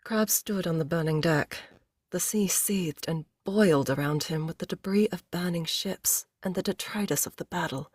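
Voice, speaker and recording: A woman's voice: lowish voice, normal speed, husky, dry recording, studio quality. A woman reading a novel aloud in audiobook-style scripted narration.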